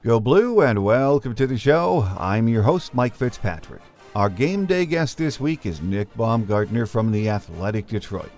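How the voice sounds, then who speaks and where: A man hosting a sports podcast, giving earnest, measured fan opinion, then opening an interview. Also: mid-range voice, lively intonation, a little mellow, soft background music. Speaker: podcast host. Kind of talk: scripted sports commentary and interview.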